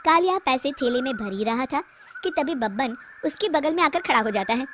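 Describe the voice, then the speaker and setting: Woman reading a cartoon story, high voice, steady, faint hiss. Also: fairly quick. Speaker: narrator. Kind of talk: scripted storybook narration.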